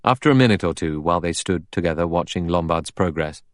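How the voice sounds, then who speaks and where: Low-voiced man narrating a novel, dry sound. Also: lively intonation, fast talker, no room sound. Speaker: audiobook narrator. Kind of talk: scripted literary narration.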